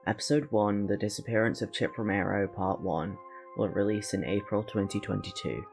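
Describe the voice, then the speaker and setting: Low, gravelly male promo announcer. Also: fairly even intonation, normal speed. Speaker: podcast trailer announcer. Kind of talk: scripted promo narration. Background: music.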